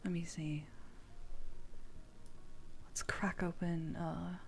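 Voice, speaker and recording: A woman streamer speaking softly in a playful ASMR livestream style, often dropping into a whisper. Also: on the low side, flat delivery, drawn-out words, gravelly, slight background noise. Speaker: streamer reading tarot.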